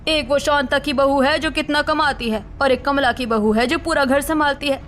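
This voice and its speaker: A woman acting a harsh mother-in-law and her pleading daughter-in-law in an animated family drama, shrill and emphatic. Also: moderate pace, a little hiss or hum. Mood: scolding, pleading.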